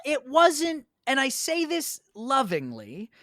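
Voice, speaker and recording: A man's voice: very high-pitched, wildly swooping pitch, takes it slowly, bright, forceful tone, a little hiss or hum. An American man chatting casually as a podcast guest, emphatic and prone to repeating words. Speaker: podcast guest, theatre performer.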